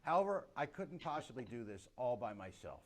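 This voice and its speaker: A man's voice: medium pitch, sing-song, a little fast. An older man hosting a comedy talk show over a video call, giving upbeat, emphatic introductions.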